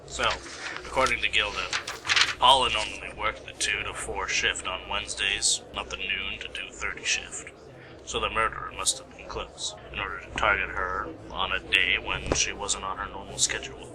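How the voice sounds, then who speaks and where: A man's voice: deep voice, flat delivery, normal speed, crowd noise, rough recording. A man playing a matter-of-fact, mildly teasing police colleague in an amateur radio play.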